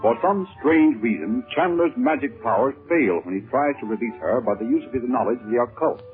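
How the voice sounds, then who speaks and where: A man narrating a scripted radio serial as announcer in a formal, dramatic, deliberate 1930s style. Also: mid-range voice, expressive. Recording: muffled 1930s radio recording with music.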